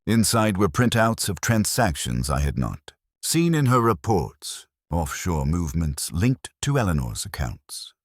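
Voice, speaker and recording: Very deep-voiced man narrating a dramatic story. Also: sing-song, measured pace, no echo, studio quality. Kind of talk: scripted dramatic first-person audiobook-style narration.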